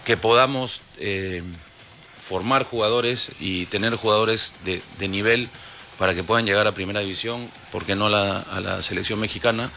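A Peruvian man in his forties, a football club executive answering radio interview questions in a measured, explanatory way with many fillers. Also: lowish voice, fairly even intonation, creaky, sharp tone, slight background noise. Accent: Peruvian Spanish. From Peru. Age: 40s.

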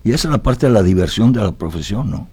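A man's voice: fairly low pitch, expressive, quick pace, a little mellow, slightly rough. A man from Italy talking reflectively as an interview guest, philosophical and often tagging his points with questions seeking agreement.

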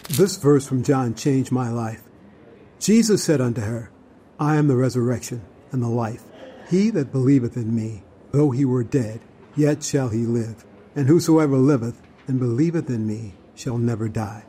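Actor as an earnest, mellow young evangelist. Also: male voice, mid-range voice, normal speed, slight background noise, lightly acted. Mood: earnest, devout. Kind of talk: radio drama dialogue with scripture reading.

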